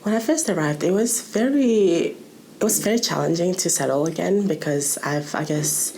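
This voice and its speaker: Young woman, low voice, hesitant delivery, casual testimony, from Malaysia. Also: normal speed, a little mellow, slight background noise, rough recording. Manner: hesitant, searching for words. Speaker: youth programme participant.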